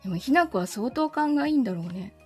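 Animated, high-voiced woman chatting casually. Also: racing, husky, soft background music. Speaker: amateur-style live voice drama performer and host. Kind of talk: casual chat with listeners after a performance.

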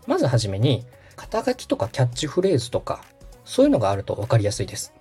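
A man's voice: medium pitch, wildly swooping pitch, quick pace, dark tone, husky. A man hosting a podcast, explaining things politely, step by step, in a how-to style. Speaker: podcast host. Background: background music.

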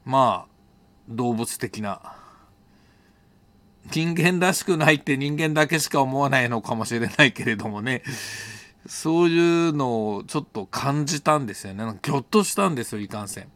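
A middle-aged man with a mid-range voice talks alone, as host of his own story show. He reflects as he narrates, hesitating, thinking out loud and amending his phrasing along the way. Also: medium pitch, animated, moderate pace, no room sound. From Japan. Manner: hesitant, thinking aloud, self-correcting. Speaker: host telling personal stories on an audio show. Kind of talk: unscripted reflective storytelling monologue.

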